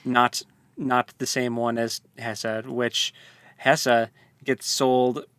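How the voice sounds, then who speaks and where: A man's voice: mid-range voice, little pitch movement, slow pace, fairly bright tone, clear, resonant tone, no echo. A man co-hosting a casual book discussion podcast, hesitant and full of restarts as he talks through a story. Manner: hesitant, many fillers and restarts. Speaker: podcast co-host.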